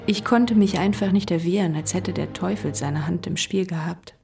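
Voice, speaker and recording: An actress playing a troubled young maidservant giving testimony in a period radio drama, a little off the microphone. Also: female voice, lowish voice, normal speed, gravelly, warm tone, distant mic, soft background music. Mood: troubled, confessional. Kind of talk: German radio drama monologue.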